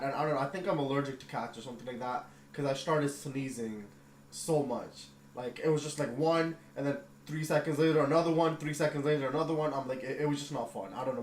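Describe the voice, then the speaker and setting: A man hosting a casual livestream, rambling and easily excited. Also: mid-range voice, quick pace. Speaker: livestream host. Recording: echoey room with faint noise.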